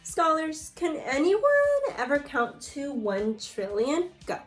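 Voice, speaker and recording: A woman's voice: high voice, lively intonation, takes it slowly, soft background music. A woman teaching young children through a read-aloud lesson, reading from a book and prompting pupils with questions and short instructions. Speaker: second-grade teacher.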